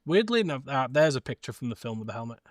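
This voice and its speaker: A man co-hosting a podcast, explaining things in a measured, casual way with hesitant starts. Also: medium pitch, sing-song, no room sound, clean studio sound. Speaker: podcast co-host. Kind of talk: casual chat.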